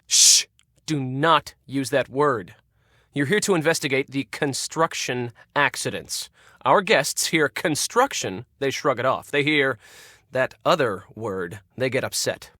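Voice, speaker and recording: An actor playing a pushy, irritable spa resort manager in a video game, snippy and sarcastic. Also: male voice, mid-range voice, bright, forceful tone, no echo, clean studio sound. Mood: brisk, condescending. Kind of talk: scripted video game dialogue.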